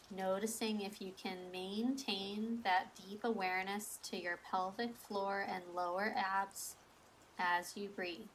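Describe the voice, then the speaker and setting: A woman leading a guided breathing meditation as an instructor, in a soothing, measured, instructional manner. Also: mid-range voice, a bit bright, a little hiss or hum, low-quality recording.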